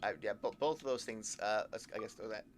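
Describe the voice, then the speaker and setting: A man's voice: lowish voice, fast, a little hiss or hum. A man streaming a game live, reacting casually with hesitant, murmured commentary and repeated words.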